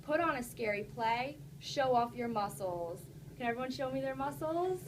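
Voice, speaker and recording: A woman's voice: on the high side, animated, normal speed, background noise. A woman teacher reading a storybook aloud to children, encouraging and instructive, stopping to put questions to the class.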